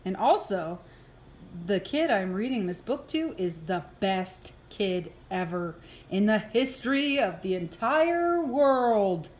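A woman reading a children's picture book aloud in an expressive, playful way, with exaggerated reactions. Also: mid-range voice, slow pace. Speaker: early childhood program coordinator reading aloud. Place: indoors, filmed over an open book.

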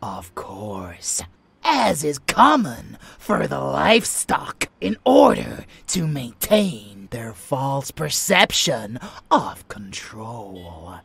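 A man voicing an unhinged, fanatical vampire lover in dark romance ASMR roleplay, theatrical and menacing yet doting, husky and often dropping to a whisper. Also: medium pitch, wildly swooping pitch, full character voice. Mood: scornful, sinister. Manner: theatrical, savoring words, slow with dramatic pauses.

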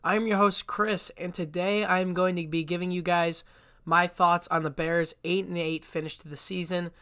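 A man's voice: sits high, flat delivery, moderate pace, sharp tone, clear, resonant tone. A young man with a stuffy, congested voice from a cold, hosting a casual solo sports podcast and talking bluntly with frequent restarts. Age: young adult. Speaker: podcast host.

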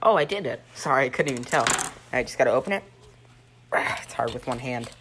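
A teenage boy hosting a joking homemade cooking show in a home kitchen, rambling deadpan through mock instructions with plenty of ums, filmed on a phone by a friend. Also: mid-range voice, fast talker. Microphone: phone camera held by a friend. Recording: noisy home phone video.

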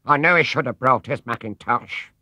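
A man's voice: mid-range voice, normal speed, bright, forceful tone, dry recording, pitched above the performer's own voice. A man voicing a fussing, concerned English housekeeper woman in a children's storybook. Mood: fretful.

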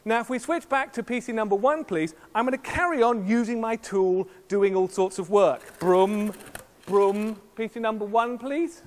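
Jokey high-voiced man presenting on stage. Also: normal speed. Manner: lively, jokey showman with sound effects. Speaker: keynote presenter. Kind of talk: live product demo on stage. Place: large conference hall stage. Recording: long hall reverb.

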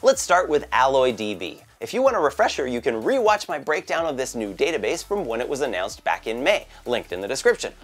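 A man in his thirties presenting scripted monthly tech news from a bright home office, with a lively, lightly joking delivery. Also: mid-range voice, brisk, hardly any pauses, faint music behind.

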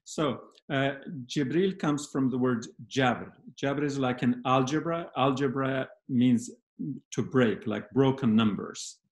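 A man speaks as a panel guest over a video call, unhurried and thoughtful, stopping often to find his words. His voice sits at an ordinary pitch. The room around him rings with noticeable echo. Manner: slow, measured, many pauses and fillers. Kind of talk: reflective discussion on a video call. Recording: roomy video call audio.

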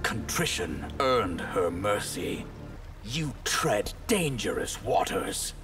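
An actor playing a menacing, haughty water sorcerer in a video game, deliberate and arrogant. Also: male voice, fairly low pitch, big pitch swings, a bit bright, soft background music, heavily acted. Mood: menacing, smug. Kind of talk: dramatic video game dialogue.

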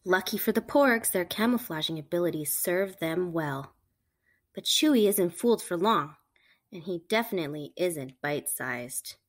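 A woman reading a picture book aloud to children, with animated, emphatic storytelling delivery. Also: fairly low pitch, normal speed. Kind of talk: expressive read-aloud narration for children.

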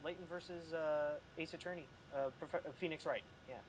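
A chatty, animated man in casual conversation as a podcast panelist. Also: a little high, fairly quick, slight background noise, low-quality recording. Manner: animated, chatty, fond of acting out examples. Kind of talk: casual chat.

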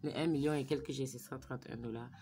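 A woman's voice: a bass voice, steady tone, fast, warm tone, a little hiss or hum. A woman narrating a phone screen recording as a tutorial, thinking aloud as she types in numbers and sometimes dropping to a murmur. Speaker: vlogger.

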